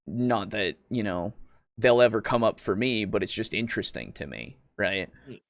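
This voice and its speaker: A man's voice: on the low side, expressive, normal speed, no room sound. A man co-hosting a podcast, casually discussing roleplaying games in a hesitant, rambling way, as if thinking aloud. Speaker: podcast co-host.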